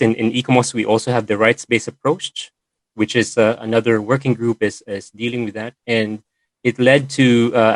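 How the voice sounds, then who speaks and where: Guy hosting a video call, lowish voice, lots of uhs and ums, dry sound. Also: no echo, poor audio. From Philippines. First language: Filipino. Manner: hesitant, many fillers and restarts. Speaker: session host and moderator. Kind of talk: online meeting presentation.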